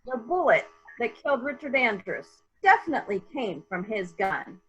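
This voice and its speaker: Lady doing a sharp nosy investigator woman in a radio mystery, bright and a bit raspy. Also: on the high side, very animated, moderate pace, highs cut off, faint music behind, full character voice. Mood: pointed, businesslike. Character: brisk, probing woman investigator questioning witnesses. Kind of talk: amateur dramatic reading of a murder mystery.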